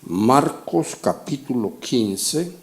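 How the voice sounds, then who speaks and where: A man's voice: medium pitch, animated, very slow. A man in his sixties preaching a conversational, teaching sermon, often checking in with short rhetorical questions. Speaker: pastor teaching a Bible class.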